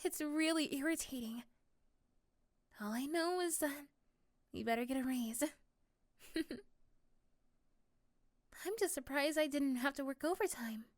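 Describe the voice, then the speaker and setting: Actress as anxious young office crush for ASMR audio drama. Female, sweet, very high voice, dry recording. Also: a little slow, long pauses, no room sound. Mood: friendly, relieved.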